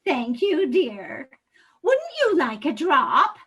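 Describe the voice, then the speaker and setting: A woman's voice: high voice, very animated, slow, a bit bright, heavily acted. A woman playing a fussy, timid old lady in a comic readers theater piece, with a fluttery, genteel, easily upset manner. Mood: timid, uneasy. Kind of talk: comedic readers theater acting.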